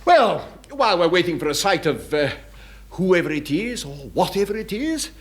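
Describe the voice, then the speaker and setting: High, lilting, dithering naval officer. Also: male voice, sing-song, moderate pace. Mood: breezy. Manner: polite, wordy, mock-dramatic. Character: suave, dithering naval officer, politely teasing and silly. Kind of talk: 1960s radio sitcom acting.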